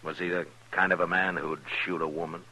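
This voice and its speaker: Very deep, stern frontier marshal. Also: male voice, little pitch movement, fairly quick, pushed and bright. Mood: grave, frank. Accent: American. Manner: terse, authoritative. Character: stern, steady frontier marshal of the Old West. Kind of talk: 1950s radio western acting. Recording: noisy old radio drama recording.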